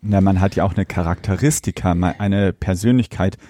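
A man's voice: sits low, fairly quick, dark tone, dry recording, studio quality. A man co-hosting a podcast, in casual review talk and reading aloud. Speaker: podcast co-host.

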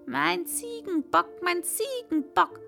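A woman's voice: extremely high pitch, lively intonation, slow pace, creaky, sharp tone, soft background music, voice pushed up, full character voice. Woman doing a brash wild robber girl. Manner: brash, teasing.

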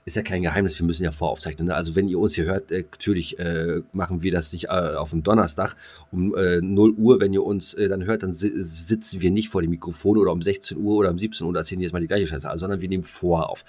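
Low-voiced man bantering, crude and joking. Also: steady tone, fast, darkish tone. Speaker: podcast co-host. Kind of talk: casual comedic banter.

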